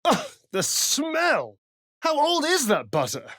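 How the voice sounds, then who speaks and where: A man's voice: very high-pitched, huge pitch swings, slow pace, pushed and bright, no room sound, studio quality, full character voice. A male actor playing a vain, sly, sardonic vampire adventurer in a video game, with a theatrical, haughty delivery. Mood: loud, dramatic. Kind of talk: video game character voice lines.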